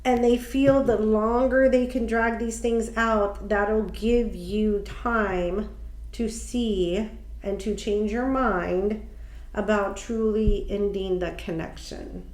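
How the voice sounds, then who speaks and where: A woman giving a casual tarot card reading, speaking slowly, a little nasal and stuffy from allergies, musing aloud with ums and restarts. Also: medium pitch, fairly even intonation, darkish tone, echoey room, low-quality recording. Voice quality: slightly nasal. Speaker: tarot reader.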